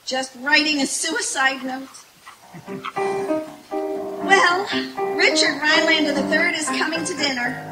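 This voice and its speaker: A woman's voice: high voice, takes it slowly, pauses often, loud music behind the voice, poor audio. A woman playing a sardonic, exasperated roommate in an old-time radio comedy performed live. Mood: wry, resigned. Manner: dry, wry, theatrically dismayed. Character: sardonic, exasperated roommate, working girl and narrator. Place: outdoor woodland stage.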